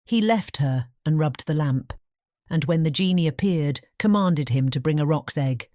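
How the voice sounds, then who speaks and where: A woman's voice: low-pitched, lively intonation, moderate pace, mellow. A woman reading scripted storybook narration as an audiobook narrator.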